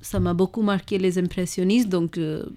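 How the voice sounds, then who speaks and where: Indian-accented woman, lower-pitched, searching for words. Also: fairly even intonation, normal speed, dark tone. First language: not French, learned it as an adult. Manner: hesitant, searching for words in a learned language. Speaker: visual artist and art teacher being interviewed. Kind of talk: conversational interview answers.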